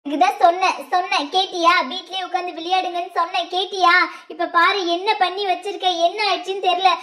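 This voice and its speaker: A fretful village mother from a cartoon, who scolds the children sharply, as a woman voices her. Her voice is pitched very high. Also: flat delivery, quick pace, a bit bright. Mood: scolding. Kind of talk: cartoon voice acting.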